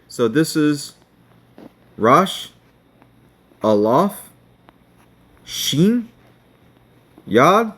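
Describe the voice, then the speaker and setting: Slow-talking man teaching on screen. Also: a little high. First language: not Hebrew. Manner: slow, checking in with "okay?". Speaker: online teacher. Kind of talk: screen-share tutorial.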